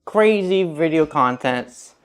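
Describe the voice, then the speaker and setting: A man's voice: on the high side, animated, slow, smooth. A young man who grew up speaking Russian talks casually while cooking, rambling and restarting his sentences. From grew up speaking Russian, learned English at eight. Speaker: vlogger cooking on stream.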